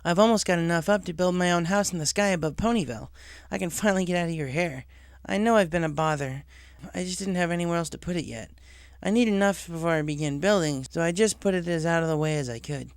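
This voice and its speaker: A man's voice: fairly high pitch, fast talker, hardly any pauses, clear, resonant tone, no room sound, pitched above the performer's own voice, close to an everyday voice. A man voicing a confident, casual young female pegasus pony in a story reading. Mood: upbeat, earnest.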